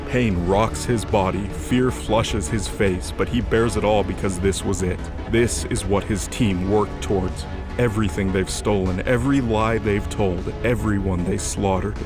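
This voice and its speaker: A man with a low voice narrates a scripted, dramatic story as an audio drama. Music plays prominently underneath him. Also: steady tone, measured pace.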